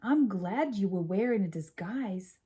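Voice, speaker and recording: A woman voicing a polite, particular young boy mouse in a storybook, earnest and deliberate. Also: medium pitch, expressive, warm tone, husky. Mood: pleased.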